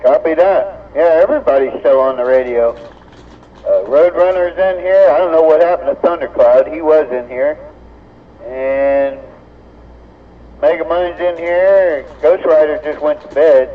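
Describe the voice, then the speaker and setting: A man's voice: on the high side, measured pace. An American man chatting casually over a CB radio transmission. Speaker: CB radio operator. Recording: thin narrowband CB radio, faint static.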